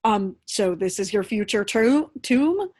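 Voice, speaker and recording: A woman's voice: mid-range voice, expressive, measured pace, darkish tone. An actress playing a caring, candid woman in a staged play reading. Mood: startled, puzzled. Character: Atara, caring, candid woman, Lazarus's lover.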